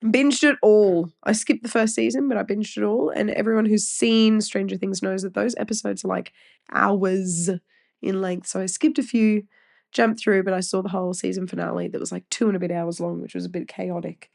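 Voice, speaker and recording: A woman's voice: mid-range voice, fairly even intonation, moderate pace, gravelly, a little mellow. Nasal Australian woman, playful co-host. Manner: playful, teasing, laughs often. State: nasal from a cold. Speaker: podcast co-host. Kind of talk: casual chat.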